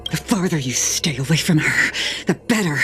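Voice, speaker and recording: A woman's voice: low voice, lively intonation, normal speed, fairly bright tone. A woman playing a regretful but firm mother in a game cutscene, grave and apologetic. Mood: sorrowful resolve. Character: regretful mother sending her daughter away, cold resolve. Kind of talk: dramatic game cutscene voice acting. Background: faint music.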